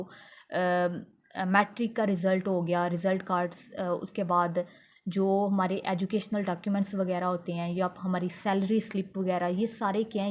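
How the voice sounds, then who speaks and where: A woman with a Pakistani accent giving an explanatory law lecture, slowing down to read English definitions and then explaining them plainly. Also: mid-range voice. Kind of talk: explanatory lecture mixing in English legal terms.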